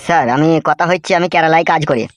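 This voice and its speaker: A man's voice: a little high, steady tone, racing, a little hiss or hum, lightly acted. A man voicing an aggrieved, bewildered young working husband in a comedy cartoon, pleading and protesting. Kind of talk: Bengali comedy cartoon dubbing.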